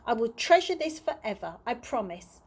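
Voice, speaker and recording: A woman's voice: a little high, very animated, moderate pace, slightly rough, exaggerated voice. A woman voicing a shy, eager, tender-hearted young girl in a children's storybook, speaking sincerely.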